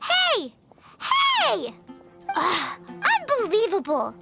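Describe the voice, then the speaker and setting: A woman voicing a tiny, excitable, childlike female fairy companion in an anime-style video game. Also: very slow, creaky, full character voice. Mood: exasperated. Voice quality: squeaky, childlike. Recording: loud music underneath. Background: game music.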